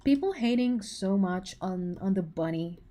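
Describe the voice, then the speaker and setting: A woman's voice: mid-range voice, animated, unhurried, warm tone. A woman in her twenties chatting casually with her livestream viewers, teasing and full of filler words. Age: 20s. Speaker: animator streaming to chat.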